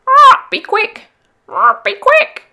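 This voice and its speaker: A frantic storybook cockatoo. The bird cries out very high and loud, stretching words slowly into shrill, excitable squawks. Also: female voice, heavily acted. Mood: frantic, excited.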